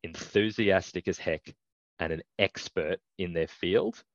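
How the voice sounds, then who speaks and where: A man speaking as a guest in a casual business chat, explaining his points in a measured, steady way. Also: sits low, no echo. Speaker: guest, recruitment business owner. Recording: dull dry mic.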